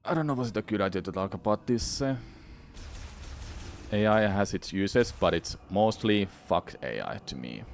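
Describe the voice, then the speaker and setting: A man from Finland with a Finnish accent, chatting casually with his viewers during a game playthrough, rambling and swearing freely. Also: low voice. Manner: rambling, frank, swears freely, many restarts. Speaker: gaming streamer.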